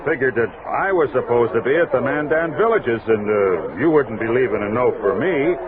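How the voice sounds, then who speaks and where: Through a thin old radio recording with busy background bustle comes a man acting a frontier army sergeant. He is American, mid-pitched, folksy and hesitant, deferring sheepishly to his superior. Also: expressive, normal speed, crowd noise, lightly acted. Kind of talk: 1960s radio drama acting. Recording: narrow-band old radio, outdoor bustle effects.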